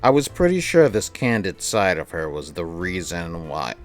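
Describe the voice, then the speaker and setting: A woman's voice: a bass voice, sing-song, moderate pace. A woman livestreaming a visual novel, reading it aloud and adding amused, exasperated asides. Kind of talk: livestream playthrough, reading and reacting casually. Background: game music.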